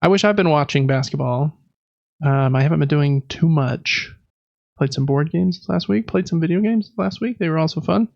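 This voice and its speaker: Male podcast co-host, easygoing and chatty, gravelly fry in the voice, dry and slightly lo-fi sound. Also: on the high side, normal speed, darkish tone, dry recording. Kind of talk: casual chat.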